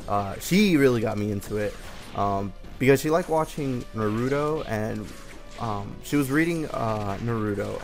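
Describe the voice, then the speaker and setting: Young male storyteller, mid-pitched voice, informal and hesitant, rambling delivery over faint music. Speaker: storyteller, host of a personal story series. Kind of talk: casual, rambling personal storytelling. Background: background music from a game.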